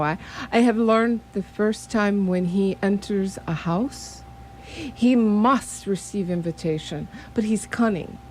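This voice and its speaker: A performer playing a grave, concerned foreign professor in a radio play, deliberate and using inverted word order. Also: medium pitch, a little hiss or hum, lightly acted. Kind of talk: radio theatre acting.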